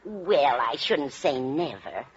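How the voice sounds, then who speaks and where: A woman's voice: low voice, expressive, slow pace, breathy edge, exaggerated voice. An actress playing a scatty, matter-of-fact older landlady with an American accent in old-time radio comedy. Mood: chatty, matter-of-fact. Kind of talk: 1940s-50s American radio comedy acting. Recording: dull vintage radio recording.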